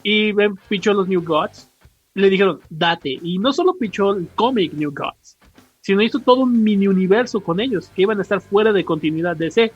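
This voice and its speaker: High, raspy-voiced Mexican man on a podcast. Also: moderate pace. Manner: slangy, rambling explanations acted out with quotes. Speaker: podcast co-host. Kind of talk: casual explanatory chat about comics. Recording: dull podcast audio with music.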